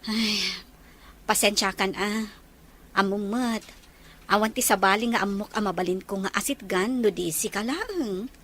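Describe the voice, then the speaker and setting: A woman's voice: medium pitch, unhurried, fairly bright tone. A woman playing a kind, sympathetic older sister in an audio drama. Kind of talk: Ilocano audio drama acting.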